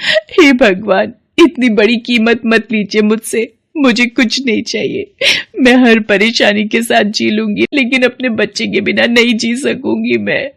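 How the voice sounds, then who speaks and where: A woman's voice: on the high side, measured pace, gravelly, voice pushed up. A woman voicing an emotional village mother in a dramatic, exclamatory way for a Hindi cartoon moral story. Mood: desperate, pleading. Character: Mala, emotional village wife and mother, proud then desperate.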